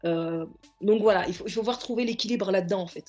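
A woman's voice: medium pitch, quick pace, a little mellow, lo-fi sound, soft background music. A woman therapist and coach, a podcast guest, speaking earnestly in reflective interview talk and often circling back to find her words. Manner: earnest, searching for words, stacking restarts.

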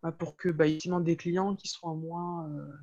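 A woman's voice: low-pitched, little pitch movement, measured pace, mellow, highs cut off. A woman speaking as a podcast guest, telling her own story casually in a chatty way, often searching for her words. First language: French. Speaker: podcast guest, web entrepreneur. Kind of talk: casual interview, personal storytelling.

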